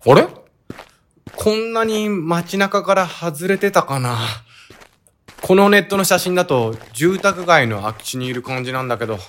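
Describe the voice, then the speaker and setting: An actor playing a laid-back young monster-spotting enthusiast who explains things matter-of-factly in a comic radio drama. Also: male voice, sits high, animated, moderate pace, a bit bright, slight background noise. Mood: unsure. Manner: casual, matter-of-fact explaining.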